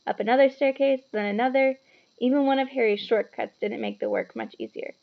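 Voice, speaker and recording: A woman reading a story aloud to children in an animated, explanatory way, with chatty asides. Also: sits high, brisk, gravelly, phone-quality sound.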